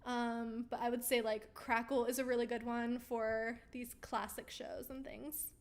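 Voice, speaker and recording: A woman's voice: a little high, level tone, unhurried. A woman hosting a weekly live question-and-answer stream, chatting casually and reading viewer comments aloud while she loses her voice. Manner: chatty and upbeat, with frequent ums and easy laughs. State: losing her voice.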